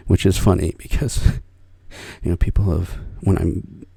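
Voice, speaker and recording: A low, gravelly American man speaks softly and close, his voice very breathy and husky, often sinking to a whisper. The tone is dark and mellow, and the sound is dry with no room around it. He wanders from thought to thought, correcting himself with small soft chuckles. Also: steady tone, slow, no echo. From United States. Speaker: ASMR creator. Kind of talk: soft-spoken ASMR rambling chat.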